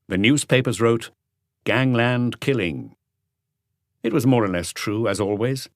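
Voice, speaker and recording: Low-voiced man narrating, very dry sound. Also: no room sound. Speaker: audiobook narrator. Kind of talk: scripted narration of a mystery novel.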